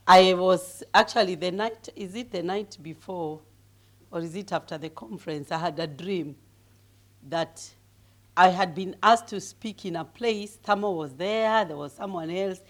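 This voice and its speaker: A middle-aged woman from Kenya with a deep voice, preaching as a guest speaker, humble and given to laughing, growing loud and emphatic as she reads scripture. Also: measured pace. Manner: grows loud and emphatic when reading scripture. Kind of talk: sermon.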